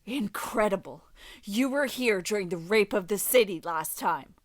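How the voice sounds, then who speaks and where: A woman's voice: mid-range voice, expressive, normal speed, close to an everyday voice. An actress playing an angry, bitter battle sister who accuses and scorns her comrades in a fan audio drama.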